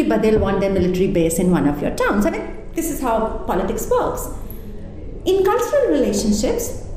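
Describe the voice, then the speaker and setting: Animated woman in an echoey room. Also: on the high side, slight background noise. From India. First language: an Indian language. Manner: animated and emphatic, thinking aloud with restarts. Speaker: interview guest, arts and culture figure. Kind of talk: conversational interview.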